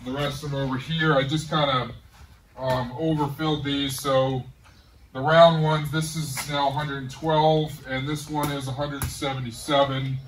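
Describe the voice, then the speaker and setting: Relaxed middle-aged man touring his garage gym. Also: mid-range voice, measured pace, distant mic, a little hiss or hum, low-quality recording. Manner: relaxed, rambling, many ums. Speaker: home gym owner giving a tour. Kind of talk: casual walk-through tour. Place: large garage home gym.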